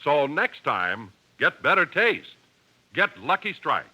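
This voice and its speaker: American man, 1950s announcer, fairly high voice, animated intonation, emphatic salesman polish, vintage radio. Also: takes it slowly, slight background noise. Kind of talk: 1950s scripted announcing and sponsor pitch. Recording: old 1950s radio broadcast.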